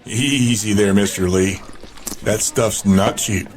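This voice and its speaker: A man plays a cocky, hot-tempered tough guy in an audio drama. The voice is on the low side, with clear noise behind it. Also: fairly even intonation, slow pace. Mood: swaggering.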